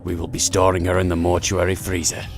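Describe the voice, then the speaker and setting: A man's voice: deep voice, completely flat delivery, fast, music in the background. A man playing a cold, detached hospital researcher in a video game, giving formal clinical notes.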